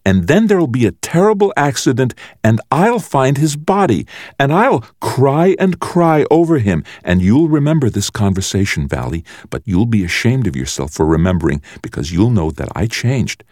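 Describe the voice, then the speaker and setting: A man's voice: mid-range voice, a little mellow, dry recording, studio quality, pitched above the performer's own voice. A man voicing a cruel, mocking older brother, a menacing and theatrical boy bully in an audiobook. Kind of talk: audiobook character voice.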